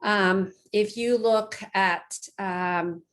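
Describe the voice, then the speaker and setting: A woman's voice: medium pitch, steady tone, extremely slow. A middle-aged woman from Mexico, a psychologist giving an online talk to parents, speaking casually with fillers and restarts. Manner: conversational, many fillers and restarts. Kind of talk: lecture-style online talk. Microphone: online talk, close mic.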